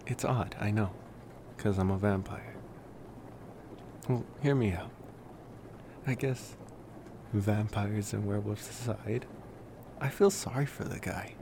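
A man playing a laid-back, wry college-age vampire in an intimate ASMR roleplay, rambling casually and hesitantly with sighs and pauses. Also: fairly low pitch, creaky, noisy background. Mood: sheepish, amused.

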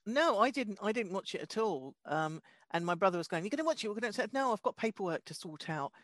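A woman's voice: mid-range voice, lively intonation, fast talker, dry recording, studio quality. A woman comedian co-hosting a chat show, talking chattily with easy laughter and stumbling restarts. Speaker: comedian and co-host. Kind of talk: casual chat and guest introductions.

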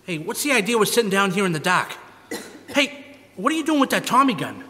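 A man's voice: sits high, very animated, brisk, bright, forceful tone, full character voice. A man playing a jumpy, gullible gangster henchman in a live radio drama, talking in slangy, ungrammatical tough talk. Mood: nervy, gruff. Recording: echoey live hall, some noise.

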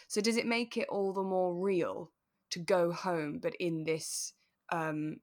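A woman's voice: fairly low pitch, fairly even intonation, slow pace. A woman co-hosting a podcast, casually talking through a radio drama in a reflective, explanatory way. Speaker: podcast co-host.

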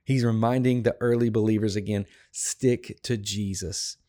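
A slightly hoarse, tired man hosting a devotional podcast, teaching a Bible passage in an earnest, encouraging way with emphatic repetition. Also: lowish voice, steady tone, normal speed, dark tone. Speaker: podcast host.

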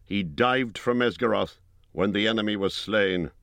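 A man's voice: fairly low pitch, moderate pace, gravelly, a bit bright, no room sound, clean studio sound. A man voicing a fierce, proud bowman and dragon slayer, declaiming boldly in a fantasy storybook.

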